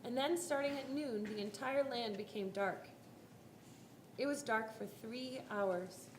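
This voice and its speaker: A woman narrating a scripted dramatic Bible reading, telling the story in a deliberate, expressive way. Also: a little high, a little hiss or hum. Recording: roomy live hall, faint music.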